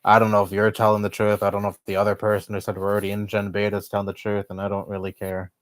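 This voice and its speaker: Young male streamer, low-pitched, blunt chatty rambling, dry close mic. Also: monotone, rapid-fire, no echo. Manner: blunt, swears often, rambling opinions. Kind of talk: casual live-stream chat.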